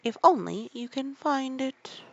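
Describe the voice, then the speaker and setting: A woman's voice: high voice, expressive, unhurried, gravelly, dry recording, voice pushed up, full character voice. An old storybook Duchess, as a woman reader puts her on, fond and full of morals. Her speech is drawn out and crackly, and the recording is a little dull. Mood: doting, scolding. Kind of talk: sleepy read-aloud of a children's classic.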